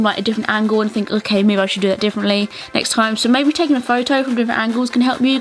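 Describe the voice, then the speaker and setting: A young British woman giving a casual, chatty, enthusiastic beauty tutorial voice-over. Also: mid-range voice. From England. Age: young adult. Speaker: beauty vlogger. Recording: music bed under the voice. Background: clear background music.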